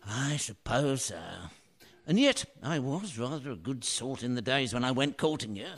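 Man acting a wistful failed painter, expressive. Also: mid-range voice, normal speed, natural voice. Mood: wistful, regretful. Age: middle-aged. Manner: wistful, theatrical. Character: washed-up middle-aged painter, wry, regretful, self-mocking husband. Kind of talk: radio play acting.

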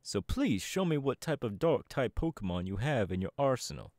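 A man's voice: mid-range voice, very animated, moderate pace, a little mellow, a little hiss or hum, natural voice. A man voicing a cocky young male DJ who leads a gang, boasting and talking straight to the listener in an audio roleplay. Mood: boastful. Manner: boastful, swaggering, talks to the listener. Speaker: Team Star leader in a roleplay.

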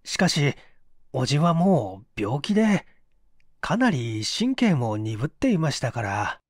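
A man voicing a guarded, melancholy gentleman who answers hesitantly and politely in a story reading. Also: medium pitch, very animated, a little slow, dry recording, clean studio sound, voice pushed down. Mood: uneasy, guarded. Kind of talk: acted dialogue in story reading.

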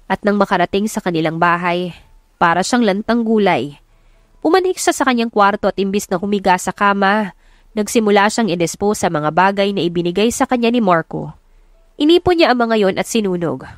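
A woman's voice: medium pitch, lively intonation, a little fast, no echo. Woman narrating a romance, dry studio-close sound. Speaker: audiobook narrator. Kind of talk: dramatic scripted romance storytelling.

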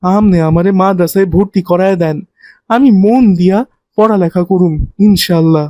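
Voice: mid-range voice, moderate pace, mellow, dull audio, close to an everyday voice. An actor voicing a poor, humble street boy in a cartoon, speaking a colloquial rural Bangladeshi dialect. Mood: earnest. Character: poor abandoned street boy working as helper. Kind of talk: cartoon voice acting.

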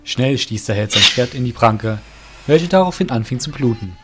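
Male narrator, fantasy audio drama. Mid-pitched, literary storytelling, faint music underneath. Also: expressive, moderate pace.

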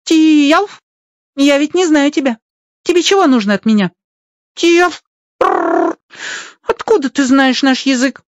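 A performer voicing a proud, suspicious young male fox in a radio play, punctuating speech with sharp yelps. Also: high voice, slow, long pauses, dry recording, pitched above the performer's own voice. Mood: wary.

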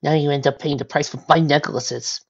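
A woman's voice: sits low, steady tone, a little fast, voice pushed up. A woman voicing a studious, conscientious female pony with an anxious, apologetic manner in a story reading. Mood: guilty. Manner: earnest, anxious. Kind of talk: fan fiction story reading. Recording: dull lo-fi recording.

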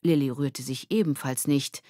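Low-voiced woman narrating a children's story. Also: fairly even intonation, moderate pace, warm tone, dry recording, clean studio sound. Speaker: audiobook narrator. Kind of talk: scripted narration of a children's novel.

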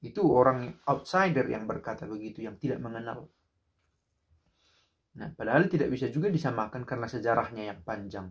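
A man's voice: medium pitch, animated, brisk. A man in his thirties giving casual spoken commentary to the camera in a small room, reflective and full of rhetorical questions. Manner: reflective, rhetorical, many fillers and restarts. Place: small indoor room by a window.

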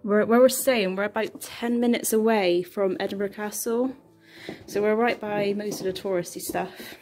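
A young woman casually giving a tour of a small apartment, filmed on a handheld phone, with a relaxed, offhand way of talking. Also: medium pitch, moderate pace, faint music behind. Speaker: vlogger.

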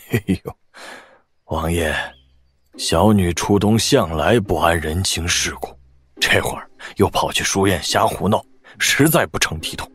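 Deep, husky, humble elder. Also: male voice, animated, unhurried, a bit bright, exaggerated voice. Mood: humble, flustered. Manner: deferential, humble. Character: deferential older man, humble father-in-law. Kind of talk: audio drama dialogue.

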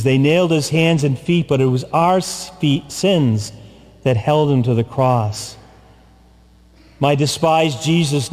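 Slow, solemn priest in echoing church. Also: male voice, fairly high pitch, darkish tone. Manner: slow, solemn and deliberate, with long pauses and repetition. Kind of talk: sermon. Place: large church, from the pulpit. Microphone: far camera, pulpit mic. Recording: long church reverb.

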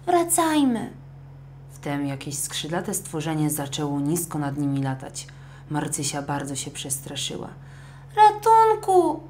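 A woman narrating a scripted children's audio story in an expressive, dramatic way. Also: sits low, moderate pace, slight background noise.

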